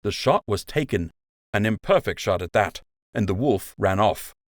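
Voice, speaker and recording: A blunt, steadying buck rabbit officer from a storybook, read by a man. He is firm and plain-spoken, with expressive swings in a dry, close sound. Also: fairly low pitch, moderate pace, dry recording, natural voice. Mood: matter-of-fact. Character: firm, matter-of-fact male rabbit officer.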